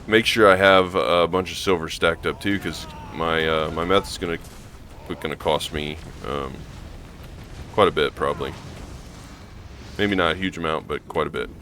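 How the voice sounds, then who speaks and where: Hoarse, gravelly low-voiced man. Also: fairly even intonation, moderate pace, sharp tone, a little hiss or hum. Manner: casual, meandering, lots of ums and restarts. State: hoarse from allergies. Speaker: gaming channel host. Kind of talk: rambling gameplay commentary.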